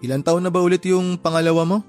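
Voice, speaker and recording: A man's voice: high-pitched, fairly even intonation, fast, darkish tone, soft background music, close to an everyday voice. An actor playing a candid younger brother in his thirties who chides his sister in a podcast drama. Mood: concerned. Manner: candid, chiding. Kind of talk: Filipino podcast radio drama dialogue.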